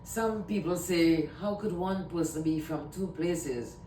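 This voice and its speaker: A woman's voice: unhurried, mellow. A woman from Trinidad and Tobago with a Trinidadian accent, talking casually to camera in a rambling way and often repeating herself. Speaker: vlogger. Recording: echoey room, mic at a distance, faint rumble.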